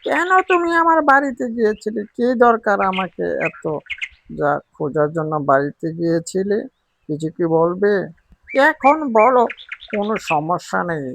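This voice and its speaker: A man voicing a brisk, questioning female bird, an elder sister in a cartoon. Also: high-pitched, very animated, creaky, fairly bright tone, a little hiss or hum, full character voice. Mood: curious, brisk.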